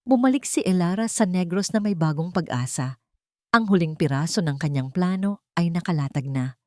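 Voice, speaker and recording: A woman narrator tells a dramatic story in a scripted style. Her voice sits a little low and moves widely in pitch. The recording is close and dry. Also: dark tone, no room sound.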